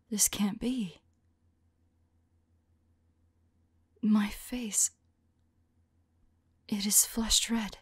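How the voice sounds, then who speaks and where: Woman acting a breathy, whispering vampire lover. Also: mid-range voice, dry recording. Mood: bewildered, flustered. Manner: halting, soft, musing to a loved one. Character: tender, anxious female vampire, 150 years undead, devoted lover. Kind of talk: ASMR roleplay audio drama, intimate whispering.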